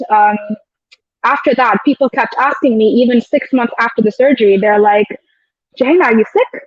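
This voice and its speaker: Woman guest, high voice, dull dry sound. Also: no echo. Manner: reflective, storytelling with quoted asides. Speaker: guest, air traffic control officer. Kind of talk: casual interview chat.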